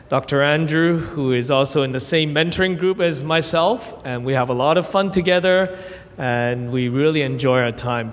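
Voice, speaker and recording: A young adult man raised in Canada, giving a sermon as guest preacher, earnest and gentle, telling stories with brief pauses. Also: on the high side, big-room sound. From Hong Kong, raised in Canada. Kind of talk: sermon with prayer and illustrations.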